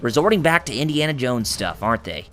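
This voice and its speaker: Male let's-play host, hoarse and raspy, chatty and rambling; bright tone. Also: mid-range voice, big pitch swings, a little fast. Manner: rambling, full of fillers and restarts, jokey. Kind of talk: gameplay commentary.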